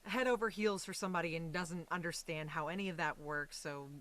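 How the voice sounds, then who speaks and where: Bright-voiced American woman chatting casually. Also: on the low side, brisk. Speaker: guest, voice and stage actress. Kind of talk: casual interview chat.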